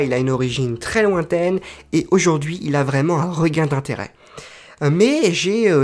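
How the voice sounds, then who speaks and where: Male podcast host, slightly raised pitch, talks it through casually, lots of hesitation, faint music bed. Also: darkish tone. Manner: chatty, explains carefully with frequent hesitations. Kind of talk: solo informative podcast monologue.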